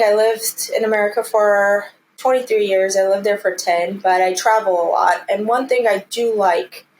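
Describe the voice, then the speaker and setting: A woman's voice: mid-range voice, slight background noise, low-quality recording. A woman in her thirties from Armenia, speaking earnestly and encouragingly as she answers interview questions conversationally. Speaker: interview guest, commercial real estate team lead.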